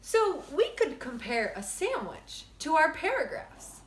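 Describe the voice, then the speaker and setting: Female storybook reader for kids, teacherly, higher-pitched and very lively, roomy sound. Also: a little slow. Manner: expressive, simple phrasing aimed at young children. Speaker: teacher reading a story to children. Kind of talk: children's storybook read-aloud. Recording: echoey room.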